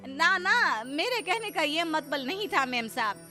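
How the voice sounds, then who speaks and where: A woman voicing a meek, poor village housemaid in an animated moral story, deferential and pleading. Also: high-pitched, unhurried, faint music behind, pitched above the performer's own voice, full character voice.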